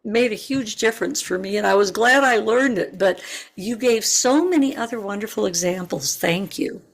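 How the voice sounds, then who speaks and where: A woman's voice: mid-range voice, moderate pace. A woman in her sixties, a retired teacher, asking questions in an online seminar over a video call, earnest and searching for words.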